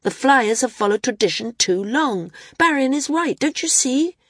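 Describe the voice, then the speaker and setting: A woman's voice: high voice, lively intonation, normal speed, fairly bright tone, no echo, studio quality, pitched above the performer's own voice. A woman voicing a passionate young woman who argues urgently for her brother. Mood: impassioned. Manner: impassioned, urgent. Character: passionate young woman flyer, pleading sister.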